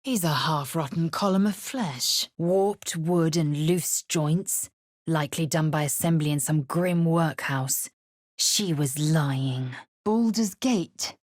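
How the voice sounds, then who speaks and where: A husky, blunt warrior woman. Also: takes it slowly, no room sound, clean studio sound. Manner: clipped, commanding, often low and hushed. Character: fierce githyanki warrior woman, proud and blunt. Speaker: game character. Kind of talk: studio game voice acting.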